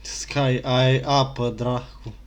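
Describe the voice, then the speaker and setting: A man's voice: medium pitch, flat delivery, slow. A man giving casual, unscripted gaming commentary, grumbling in blunt asides. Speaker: gaming YouTuber. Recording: slight background noise.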